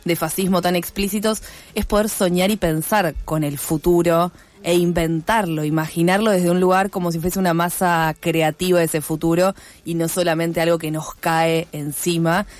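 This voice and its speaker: A woman's voice: lowish voice, steady tone, normal speed. A woman, co-hosting live radio, speaking thoughtfully in long winding sentences.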